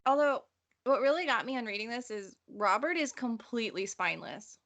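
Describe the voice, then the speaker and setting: A woman's voice: on the high side, animated, brisk, fairly bright tone. A woman co-hosting a casual book discussion, opinionated and emphatic, swearing freely. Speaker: podcast host.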